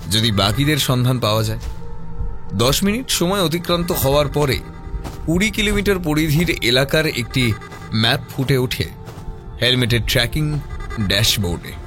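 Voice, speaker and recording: Male audio-story narrator, measured and dramatic, mid-pitched with lively intonation, horror mystery read.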